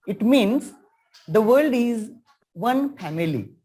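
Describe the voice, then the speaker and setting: A man's voice: high voice, expressive, slow, warm tone, slightly rough. A man with an Indian accent hosting an international webinar as a teacher, speaking earnestly and formally and repeating phrases for emphasis over a video call.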